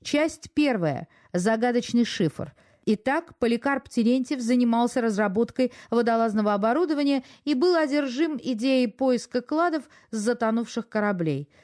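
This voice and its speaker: A woman presenting a radio play, reading a scripted introduction in a measured, formal manner. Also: a little high, lively intonation, darkish tone.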